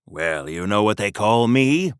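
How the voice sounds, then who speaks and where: An actor playing an earnest, pompous 1930s American superhero in a theatrical old-time radio serial style for a video game. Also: male voice, on the low side, big pitch swings, unhurried, smooth, dry recording, studio quality. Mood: earnest, confiding. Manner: theatrical, pompous, old-fashioned patriotic announcer delivery. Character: earnest, bombastic 1930s American male golden-age superhero. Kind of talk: 1930s-40s radio serial hero acting.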